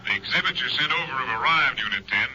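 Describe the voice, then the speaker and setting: An actor playing a brisk, skeptical captain heard over a two-way car radio in a 1950s radio drama, with clipped official delivery. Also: male voice, medium pitch, animated, low-quality recording. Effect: two-way radio filter. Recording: sharp radio filter over hissy old recording.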